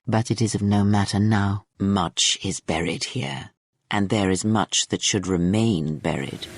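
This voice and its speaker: A man playing an ancient, otherworldly mentor in a radio drama, with a fairly low voice. It speaks gravely and solemnly, like an oracle handing down lessons. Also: measured pace, no room sound, clean studio sound.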